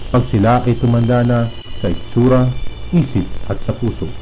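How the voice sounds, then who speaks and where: A man's voice: medium pitch, dark tone, slight background noise, rough recording. A man reading scripted, formal narration in the manner of a news documentary for an analog horror video.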